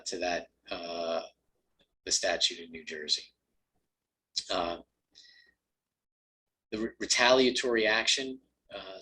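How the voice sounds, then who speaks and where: A man with a lowish voice, raspy at the edges after a full day of talking, walks listeners through a law. His tone is bright and forward. He talks in stops and starts, full of ums and uhs. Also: low-quality recording. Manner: conversational, many ums and uhs, restarts. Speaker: employment lawyer presenter. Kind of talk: webinar talk on employment law.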